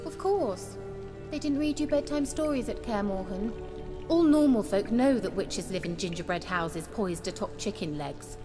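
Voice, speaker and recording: A woman's voice: mid-range voice, expressive, normal speed, lightly acted. Lady doing a posh-talking coy sorceress from a fantasy game, sly and teasing, long reverb, music behind. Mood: mock-offended, teasing. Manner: articulate, theatrical, coy. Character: self-assured, coy sorceress, cultured and slyly teasing. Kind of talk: video game voice acting.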